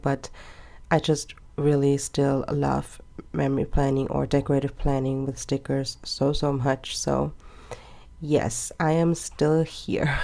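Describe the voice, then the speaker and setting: Low mellow voice, twenties woman chatting away while she plans, kinda rambly. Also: gravelly. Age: 20s. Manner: chatty and rambling, with soft laughs. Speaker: planner vlogger. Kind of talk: casual chat while planning.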